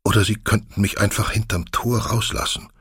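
A man's voice: on the low side, steady tone, a little fast, a bit bright, breathy edge, no echo, studio quality. A man reading a literary audiobook, voicing a desperate young Irish woman who pleads in deadly earnest. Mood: pleading, grave.

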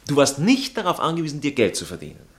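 An actor playing a prim, jealous aristocratic fiancé with an Austrian accent, drawling and indignant in a satirical stage comedy. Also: male voice, on the high side, huge pitch swings, fast. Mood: reproachful, probing. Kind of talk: stage comedy acting in a radio play manner.

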